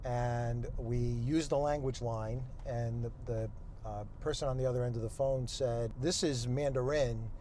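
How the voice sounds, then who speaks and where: A man in his sixties explaining things as an interview guest, measured and halting, recorded in a moving car. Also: mid-range voice, fairly even intonation, warm tone. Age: 60s. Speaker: guest, nonprofit executive director. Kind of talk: unscripted interview conversation. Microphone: clip-on lapel mic. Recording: road and vehicle noise.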